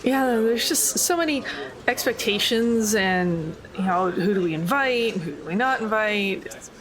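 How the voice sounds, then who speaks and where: A woman's voice: medium pitch, animated, unhurried, a crowd in the background, close to an everyday voice, low-quality recording. A woman playing a wry, blunt, world-weary female agent in a tabletop game, talking casually and dryly. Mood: exasperated.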